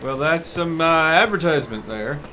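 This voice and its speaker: A male streamer with a slightly high voice gives casual gameplay commentary, musing to himself. His delivery is unhurried but varied in pitch, with clear game noise behind him. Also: animated, pushed and bright. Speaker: live streamer. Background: video game ambience.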